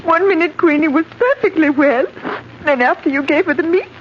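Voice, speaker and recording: An elderly aunt with an extremely high voice, timid and fluttery, stammering anxiously in old radio drama style. The recording is dull and clearly noisy. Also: female voice, brisk. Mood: upset. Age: older. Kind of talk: 1940s radio drama acting.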